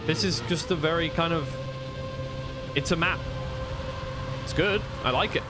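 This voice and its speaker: A man's voice: fairly high pitch, measured pace, over loud music. A man doing live esports play-by-play commentary in a conversational way, thinking aloud as he goes.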